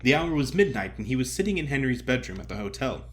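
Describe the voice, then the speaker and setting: A man narrating a classic story as a one-man audio drama, with theatrical, deliberate phrasing. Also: mid-range voice, big pitch swings.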